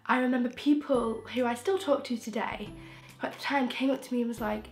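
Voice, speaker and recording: A young woman from England, croaky from a throat infection, chatting frankly and rambling with restarts as a beauty vlogger in her bedroom. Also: fairly high pitch, steady tone, fairly quick, soft background music. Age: young adult. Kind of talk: casual chatty vlog. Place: bedroom, indoors. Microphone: camera in front, close framing.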